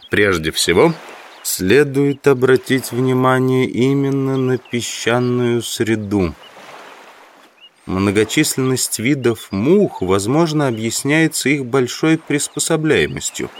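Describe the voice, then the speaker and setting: A man playing an earnest, obsessive amateur entomologist in an audio drama, musing in a deliberate, reflective monologue. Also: mid-range voice, a little hiss or hum, natural voice.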